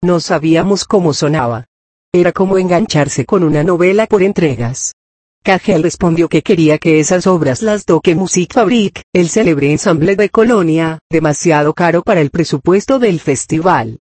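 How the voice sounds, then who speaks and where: A narrator reading a scholarly text aloud in a formal, measured, scripted way. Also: mid-range voice, darkish tone, no echo.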